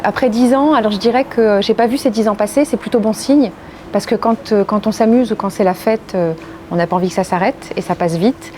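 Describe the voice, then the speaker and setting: Frenchwoman in a measured interview, noisy background. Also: mid-range voice, warm tone. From France. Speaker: interviewee, corporate legal and compliance executive. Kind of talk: interview answers.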